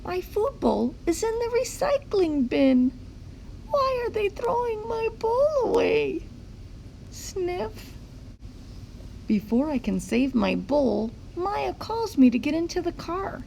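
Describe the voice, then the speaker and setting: A woman voicing an eager, curious, easily worried pet dog in a children's picture book read-aloud, expressive and full of wondering. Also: very high-pitched, slow, creaky, a little hiss or hum, pitched above the performer's own voice, full character voice, rough recording.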